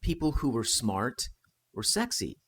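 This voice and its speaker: A man's voice: medium pitch, very animated, unhurried, a bit bright, slightly rough. An American man co-hosting a podcast, chatting casually about history and rambling with fillers and restarts. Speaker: podcast co-host.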